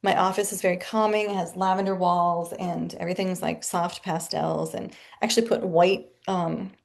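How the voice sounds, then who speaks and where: A chatty woman talks from her home office over a video call, her low voice carrying a gravelly fry. She is animated and laughs often as she describes her work. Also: normal speed. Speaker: guest author. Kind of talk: casual interview chat.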